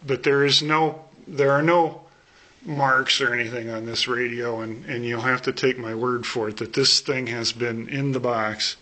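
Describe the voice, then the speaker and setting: A man giving a casual, unscripted product demo as a seller, halting and thinking aloud, recorded on a camera phone. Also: medium pitch, bright, forceful tone. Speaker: private seller demonstrating a vintage radio. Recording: noisy camera phone audio.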